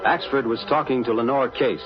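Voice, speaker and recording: A man's voice: mid-range voice, fairly even intonation, a little fast, lightly acted. A man narrating a 1940s radio drama as scripted announcer. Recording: dull 1940s radio recording, music.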